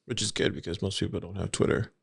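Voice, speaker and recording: Gravelly low-voiced man co-hosting a podcast. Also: fast talker, dry recording. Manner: reads quotes in an even, steady way, then asks for opinions. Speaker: podcast co-host. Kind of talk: casual chat, reading forum posts aloud.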